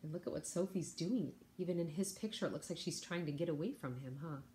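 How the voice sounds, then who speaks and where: A woman teacher reading a picture book aloud to children, expressive, with chatty asides to her young listeners. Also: deep voice, fast, slight background noise.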